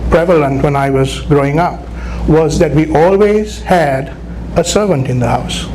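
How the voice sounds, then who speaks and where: A man's voice: fairly high pitch, unhurried, a little mellow, low-quality recording. A middle-aged man with a Sri Lankan accent gives a conversational motivational speech to an audience, often checking in with "right?". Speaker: guest motivational speaker. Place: live talk at a business chamber event. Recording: clear background noise, live event.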